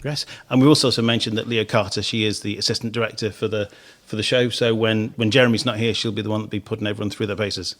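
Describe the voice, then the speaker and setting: A man's voice: fairly low pitch, steady tone, quick pace, few pauses, fairly bright tone. A middle-aged man hosting a theatre podcast, chatting casually and restarting on repeated words. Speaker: podcast host. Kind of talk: casual radio interview chat.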